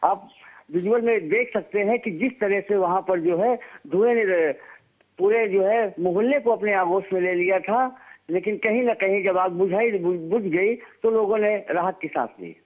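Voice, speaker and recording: A man giving an unscripted correspondent's report by phone, in halting, padded phrases. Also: high voice. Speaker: news correspondent. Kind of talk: live unscripted field report. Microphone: phone call. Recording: muffled narrowband phone line.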